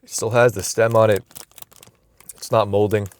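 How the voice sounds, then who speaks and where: A man's voice: fairly low pitch, little pitch movement, normal speed, clear, resonant tone. A young man vlogging from inside a car, musing aloud in a loose, rambling way with frequent restarts, recorded on a phone camera. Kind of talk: casual rambling vlog.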